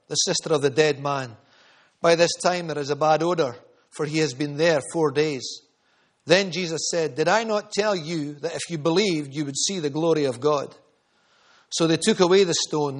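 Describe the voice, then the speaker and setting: A man preaching a sermon, earnest and storytelling, often repeating phrases for emphasis. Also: fairly high pitch.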